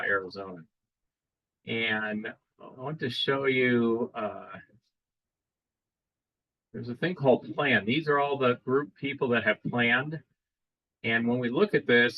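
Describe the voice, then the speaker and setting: Halting man giving a radio club demo. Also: medium pitch, dry recording, muffled recording. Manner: casual, halting, many fillers and restarts. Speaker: club presenter at a ham radio meeting. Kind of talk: live demo explanation. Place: club meeting room.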